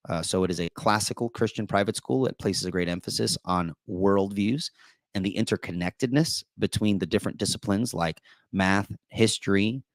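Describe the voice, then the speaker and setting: Man with a deep, recovering voice. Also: vocal fry, no echo, clean studio sound. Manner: chatty, with many fillers and restarts. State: voice still deep after losing it. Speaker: apologetics host and teacher. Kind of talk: casual live-stream talk.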